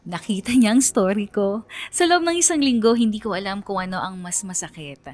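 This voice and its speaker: A woman's voice: mid-range voice, close to an everyday voice. A woman playing a lovesick young woman who narrates her crush story in a dramatic, confiding, colloquial way for a radio drama. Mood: frustrated, longing.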